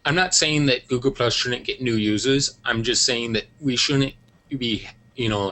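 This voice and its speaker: A man's voice: on the low side, bright, forceful tone, low-quality recording. A man giving his opinion as a guest on an online panel, firm in his views but hedging them with fillers. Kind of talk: casual panel discussion. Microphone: video call.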